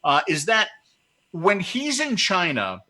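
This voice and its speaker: A man of fifty hosting a casual show, joking and animated. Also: high-pitched, slow pace. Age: 50s. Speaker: host.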